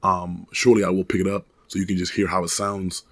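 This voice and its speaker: A hoarse man in his thirties rambles through a casual microphone review from his desk at home. His voice sits low with a gravelly fry, and the sound is close and dry with no room echo. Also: flat delivery, fast talker. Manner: rambling, many restarts and fillers. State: hoarse, recovering from a cold. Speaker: product reviewer. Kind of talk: casual product review vlog. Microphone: desk condenser USB mic.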